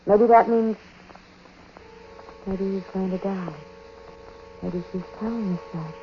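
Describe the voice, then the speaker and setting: An actress playing an anxious, superstitious wife in a 1940s radio drama, speaking in hesitant, naturalistic phrases that trail off. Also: female voice, medium pitch, steady tone, slow pace. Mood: wondering, uneasy. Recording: muffled, noisy vintage 1940s radio broadcast.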